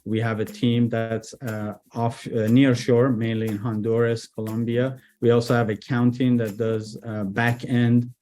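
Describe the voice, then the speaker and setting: Relaxed man from Iran, guest. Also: mid-range voice, unhurried, few pauses. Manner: relaxed, many fillers and restarts. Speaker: guest, IT executive director. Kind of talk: casual interview talk.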